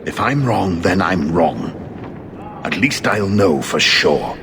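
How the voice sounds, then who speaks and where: An actor playing an earnest, dogged investigator in a fantasy video game, speaking formally and insistently. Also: male voice, low voice, expressive, slow, background noise, full character voice. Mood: determined. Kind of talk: scripted video game acting. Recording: game audio over music.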